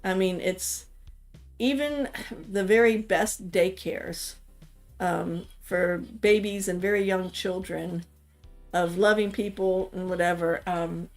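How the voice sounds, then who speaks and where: A middle-aged woman from the Washington, D.C. area, talking casually about raising kids and rambling with lots of "you know"s. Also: lowish voice. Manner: rambling, thinks aloud with many "you know"s. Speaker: mother of the streamer. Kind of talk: casual chat about parenting.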